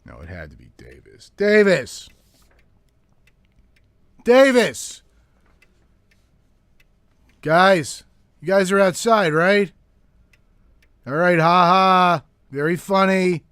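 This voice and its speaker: An actor playing a jumpy police detective who rambles haltingly and mutters in an audio horror drama. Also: male voice, high voice, very animated, smooth, no echo, clean studio sound, full character voice. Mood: angry shouting. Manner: halting, muttering, bursting into yells.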